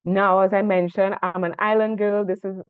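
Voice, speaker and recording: A young woman from Trinidad with a Trinidadian accent, giving a lively, chatty presentation full of asides. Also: medium pitch, normal speed, clear, resonant tone. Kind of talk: conference talk. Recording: tinny phone-like sound.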